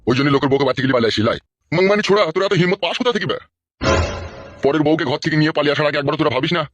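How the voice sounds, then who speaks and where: A man's voice: a little high, very fast, over music, natural voice. A man voicing a bullying, scheming local politician in a comic cartoon, scolding and interrogating coarsely. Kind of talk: comic cartoon dialogue in colloquial rural Bengali.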